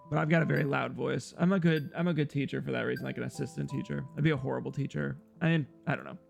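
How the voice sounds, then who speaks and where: A young man with a loud, slightly hoarse voice, rambling casually to his viewers as a live streamer while he plays a game. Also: mid-range voice, fairly even intonation, quick pace. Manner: loud, rambling, talks straight to chat. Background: faint guitar music.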